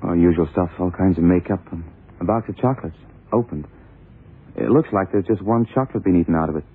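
A detective's plain-spoken sidekick with a low, husky voice. He is casual and observant, in vintage radio drama style. The sound is narrow, like an old broadcast. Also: fast talker. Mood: matter-of-fact. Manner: casual, observant, matter-of-fact. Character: blind detective's plain-spoken male assistant describing what he sees. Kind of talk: 1970s radio drama acting.

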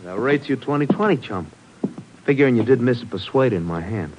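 A cocky, wisecracking radio-drama gunman played by a man, mid-pitched. He delivers menace as playful, sardonic slang over a faintly hissy old recording. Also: lively intonation, a little fast, lo-fi sound, close to an everyday voice. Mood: mocking threat. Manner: slangy, sardonic tough talk. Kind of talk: 1950s radio drama acting.

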